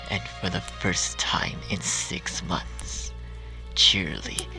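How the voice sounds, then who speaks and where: A man narrating a scripted dark fan fiction story with dramatic, deliberate phrasing. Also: deep voice, gravelly, low-quality recording. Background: music.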